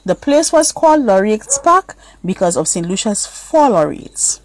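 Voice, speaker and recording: A woman's voice: mid-range voice, sing-song, measured pace, slight background noise, poor audio. A woman narrating a children's story as a scripted read-aloud.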